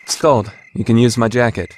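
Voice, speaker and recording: A man's voice: medium pitch, steady tone, normal speed, heavy background noise, close to an everyday voice. A man playing an earnest teenage student council president who explains things with boyish enthusiasm, in an anime-style radio play. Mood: earnest, eager.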